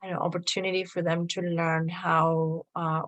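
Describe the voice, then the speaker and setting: A woman from Argentina with an Argentinian Spanish accent, a native Spanish speaker, answering a practice interview question hesitantly with many pauses. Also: on the low side, flat delivery. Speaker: workshop participant.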